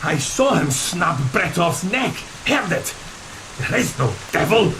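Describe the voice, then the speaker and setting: An actor playing an earnest, formal ship's first mate in a gothic horror audio drama, stammering when agitated. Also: male voice, high-pitched, very animated, measured pace. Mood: insistent, reasoning. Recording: loud rain and storm noise behind.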